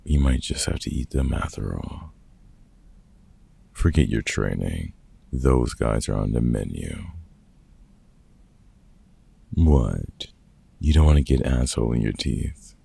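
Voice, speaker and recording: Gruff veteran monster hunter with a soft heart, played by a man, audio roleplay; very husky, unhurried, sweary mentor. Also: extremely low pitch, lively intonation, dry recording, exaggerated voice. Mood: grumbling, cynical. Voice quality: gravelly, husky. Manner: gruff, sweary, casual mentor talk with pauses. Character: gruff, kind-hearted veteran male vampire hunter and woodworker. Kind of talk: comfort ASMR audio roleplay.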